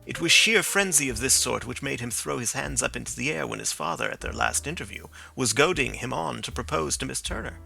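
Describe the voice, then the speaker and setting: A man reading the part of a sharp, calm male detective who reasons things out in a measured, precise way. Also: mid-range voice, gravelly, faint music behind, exaggerated voice. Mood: reasoning.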